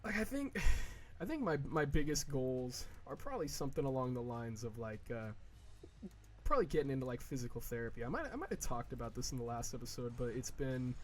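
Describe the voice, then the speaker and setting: A man's voice: medium pitch, lively intonation. A man in his early twenties chatting casually while playing a game, rambling with many fillers, restarts and swearing. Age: early 20s. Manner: slow, drawn-out mock whining in quoted asides. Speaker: gaming video creator.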